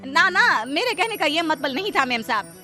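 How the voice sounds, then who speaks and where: A woman's voice: high voice, sing-song, normal speed, sharp tone, soft background music, voice pushed up, exaggerated voice. A woman voicing a poor housemaid and wife, anxious and pleading, in a cartoon. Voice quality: pleading, fretful. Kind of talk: Hindi cartoon voice acting.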